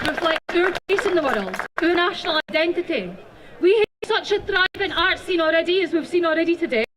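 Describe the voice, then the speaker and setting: A woman's voice: high voice, a bit bright, a little hiss or hum, low-quality recording. A young woman from Dundee with a Dundonian Scots accent, speaking earnestly at an outdoor rally and then reading a poem. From Dundee, Scotland. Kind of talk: rally speech and poetry reading.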